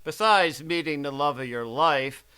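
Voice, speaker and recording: A man's voice: on the high side, a little slow, over loud music. A man hosting in a folksy, old-time radio announcer style, interviewing and presenting the show.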